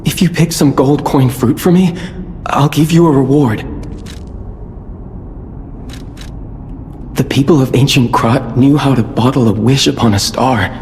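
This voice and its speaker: A man playing an urbane, hesitant merchant who was once a farmer, with a stammering, halting delivery in a video game. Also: medium pitch, a little mellow, close to an everyday voice. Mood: enigmatic, coaxing. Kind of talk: scripted game cutscene dialogue. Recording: long reverb, background noise.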